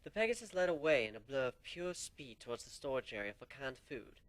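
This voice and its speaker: A man reading a fan story aloud in the first person, in an amateur dramatic style. His pitch sits in a middle range but moves in a lively way, dipping low and quiet for the creepy parts. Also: a little fast, no echo, poor audio. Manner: first-person narration, animated, drops low for suspense. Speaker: story reader.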